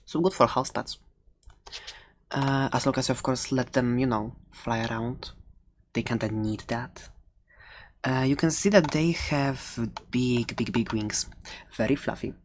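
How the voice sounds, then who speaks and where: A friendly dragon egg seller played by a woman in an ASMR roleplay, with a remarkably low voice. The delivery is unhurried and rambling, playful and a bit giggly, with faint noise and clicking behind it. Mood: gentle, rambling. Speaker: ASMR roleplayer playing a shopkeeper. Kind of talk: ASMR roleplay, improvised and conversational.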